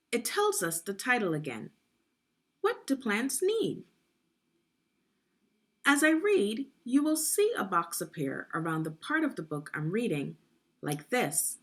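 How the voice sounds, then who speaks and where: Slow, lively woman reading to children. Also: mid-range voice. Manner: clearly enunciated, instructive, addressing young learners. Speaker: read-along teacher and narrator. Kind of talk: guided children's read-aloud with questions to the listener.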